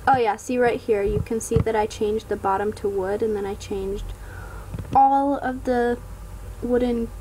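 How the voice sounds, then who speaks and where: A woman casually narrating her gameplay voiceover, a bit hoarse from a coming cold, hesitant with many ums and trailing off. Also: fairly high pitch, fairly even intonation, a little slow, warm tone, a little hiss or hum, rough recording. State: hoarse, getting sick. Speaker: gaming vlogger.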